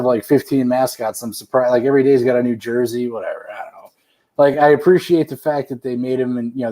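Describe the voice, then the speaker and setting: A man's voice: mid-range voice, a little mellow, poor audio. Man, mid-range voice, casual sports banter, opinionated, dismissive, sarcastic. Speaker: sports talk show panelist.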